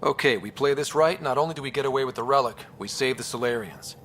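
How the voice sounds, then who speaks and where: Male actor as young space hero, medium pitch, determined, informal, earnest, game cutscene, background ambience. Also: quick pace, fairly bright tone, close to an everyday voice. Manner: casual, resolute. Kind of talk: cinematic sci-fi game acting. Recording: clear background ambience noise.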